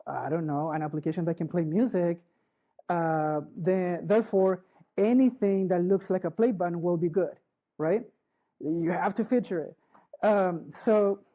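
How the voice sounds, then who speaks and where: A middle-aged woman from Chile, giving a live conference talk in a halting way, restarting often and checking in with the audience. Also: lowish voice, mellow. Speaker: conference speaker. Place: conference hall.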